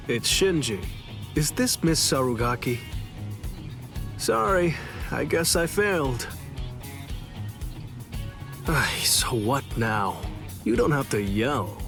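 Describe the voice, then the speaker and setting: An actor in an English anime game dub playing a cocky, teasing masked Soul Reaper with a sardonic, theatrical delivery. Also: male voice, medium pitch, sing-song, takes it slowly, creaky, long pauses, heavily acted. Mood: exasperated. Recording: music behind the voice.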